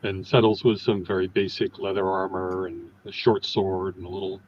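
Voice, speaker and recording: A man's voice: low-pitched, little pitch movement, normal speed, a little hiss or hum. A man playing a tabletop game, casually describing what his character does, on slightly muffled audio.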